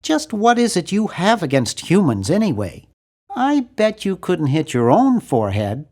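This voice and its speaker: An American man voicing a nerdy, nervous young man in a cartoon adventure game, earnest and fussy, with a nasal, awkward, excitable delivery. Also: sits high, moderate pace, exaggerated voice. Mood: argumentative.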